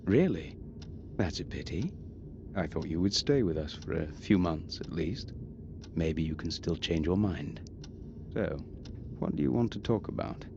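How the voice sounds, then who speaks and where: An actor playing a formal, measured and aloof lord of an old castle in a video game. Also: male voice, deep voice, lively intonation, vocal fry, heavily acted. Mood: politely formal. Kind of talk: scripted video game voice acting. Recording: muffled, very noisy game audio.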